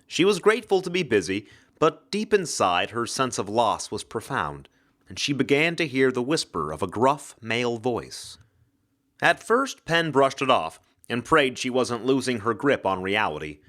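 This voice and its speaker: A man's voice: mid-range voice, big pitch swings, moderate pace, fairly bright tone. A man giving a dramatic scripted narration of a hard-boiled mystery story. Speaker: actor reading an audiobook-style story.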